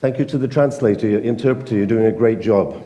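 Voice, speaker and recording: A man with a British accent, speaking earnestly and emphatically at a conference panel, pausing often with fillers. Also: fairly low pitch, mellow, long reverb tail. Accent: British English. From Britain. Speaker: panel speaker, newspaper editor. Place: conference hall.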